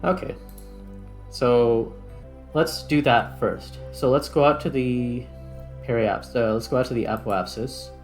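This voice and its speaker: A man giving casual gameplay commentary, thinking aloud in short, halting phrases. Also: on the low side. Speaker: gaming video host.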